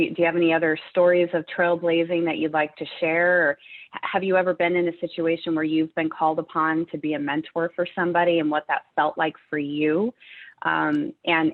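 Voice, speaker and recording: A woman with a very low voice moderates a live panel, encouraging and quick to praise. She comes through a thin, phone-like line.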